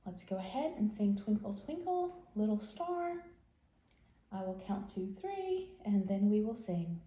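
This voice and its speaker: A woman's voice: medium pitch, big pitch swings, a little slow, warm tone. A woman hosting a bedtime read-aloud, talking to small children in simple, emphatic phrases and guiding questions. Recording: roomy, echoing home recording.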